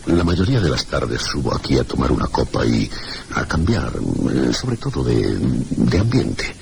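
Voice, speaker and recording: A man's voice: very deep voice, sing-song, unhurried, vocal fry, darkish tone, exaggerated voice. A man playing a cultured, inquisitive village schoolteacher in a radio mystery drama, with formal, hesitant courtesy and a Castilian accent. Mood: confiding, hesitant. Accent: Castilian Spanish. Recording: noisy, gritty old radio recording.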